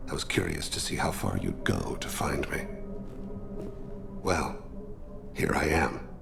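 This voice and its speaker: An extremely deep, heavily gravelled male alien assassin from a sci-fi game, ringing in a long hall reverb. He is solemn and devout, with formal, measured, philosophical delivery. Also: sing-song, over music, exaggerated voice. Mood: calm, measured. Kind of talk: video game voice acting.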